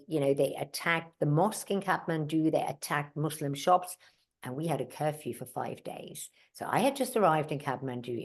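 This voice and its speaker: Low-voiced chatty woman, German native. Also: a little mellow. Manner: chatty, with many fillers and asides. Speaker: mountaineer and guest. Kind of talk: casual interview storytelling.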